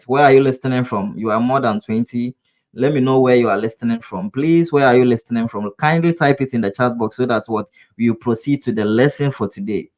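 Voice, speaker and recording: A man's voice: medium pitch, a little mellow. Earnest Ghanaian man teaching a webinar. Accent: Ghanaian English. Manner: repetitive, rhetorical "what?" questions; earnest, coaching address to viewers. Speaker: trading instructor. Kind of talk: live webinar lesson.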